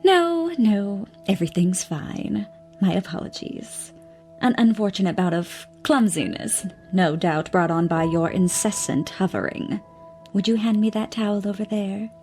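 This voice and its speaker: A woman performs a self-assured scientist in an audio drama, teasing and sly. Her voice has noticeable creak at a middle pitch, and she talks with breezy sarcasm. Music plays clearly underneath her. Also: a little slow, darkish tone, close to an everyday voice. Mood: defensive, sardonic.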